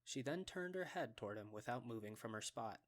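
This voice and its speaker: A man's voice: medium pitch, lively intonation, fairly quick. A man narrating a scripted fantasy story for an anime fan dub.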